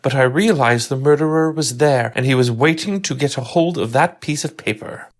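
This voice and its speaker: A man's voice: medium pitch, normal speed, close to an everyday voice. A man voicing a nervous male servant telling his story to an inspector.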